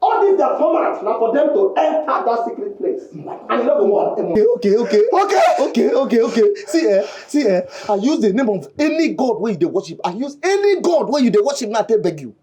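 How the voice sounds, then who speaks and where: A man's voice: very high voice, brisk, hardly any pauses. A man with a Nigerian Pidgin accent, playing a desperate man who begs for help again and again. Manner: pleading, repetitive, animated.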